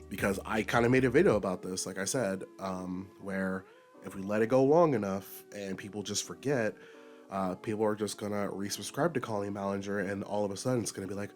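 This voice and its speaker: A man hosting his own commentary video, his voice raspy and a little nasal from a recent illness, talking emphatically in an unscripted rant and jumping up in pitch for emphasis. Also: normal speed, soft background music. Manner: emphatic, with sudden high-pitched bursts. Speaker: commentary YouTuber host.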